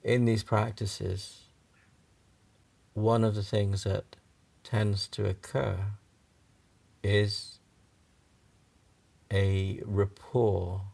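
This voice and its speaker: A man guides a relaxation meditation in a fairly deep voice with a pronounced creak. He speaks very slowly, in short halting phrases with long pauses. His manner is gentle and reassuring. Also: level tone. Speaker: yoga nidra meditation guide.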